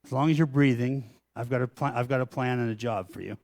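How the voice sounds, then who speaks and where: A pastor voicing God as a calm, commanding male deity, solemn and measured. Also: medium pitch, voice pushed down, lightly acted. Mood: reassuring.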